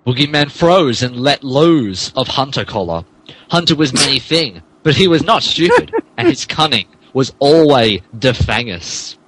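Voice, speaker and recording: A man's voice: mid-range voice, big pitch swings, a little slow, a bit bright, slight background noise, poor audio. A man reading out the narration of a fan fiction story in a mock-dramatic style. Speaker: podcast co-host reading the narration.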